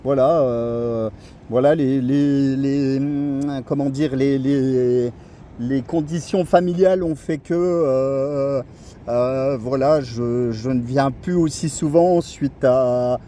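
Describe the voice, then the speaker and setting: A man's voice: mid-range voice, slow, mellow, a little hiss or hum. A middle-aged man from France chatting casually as a radio operator, hesitating and searching for his words.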